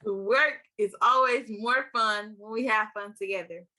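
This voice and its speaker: A teenage girl from Milwaukee giving an energetic, scripted youth-leader talk. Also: mid-range voice, unhurried. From Milwaukee, Wisconsin. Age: teens. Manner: energetic, upbeat.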